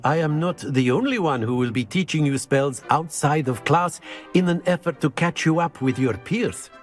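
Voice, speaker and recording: Jovial wizard teacher, super animated pitch, grand n precise, music under it. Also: male voice. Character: jovial, theatrical male professor of spells. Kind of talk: scripted video game acting.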